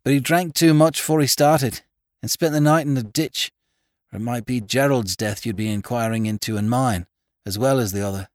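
Man voicing a hoarse, desperate country wife, raised pitch. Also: normal speed, no echo, studio quality, close to an everyday voice. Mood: stark, fearful. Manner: stark, rustic phrasing. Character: desperate, unhappy rural farmer's wife, plain-spoken.

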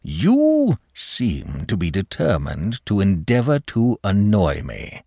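A man's voice: low voice, wildly swooping pitch, normal speed, a bit bright, dry recording, studio quality, heavily acted. A man voicing a pompous, exasperated bank manager for an audiobook, with stiff, indignant, scolding formal speech. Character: pompous, exasperated male bank manager, stern and easily angered. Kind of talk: audiobook character dialogue.

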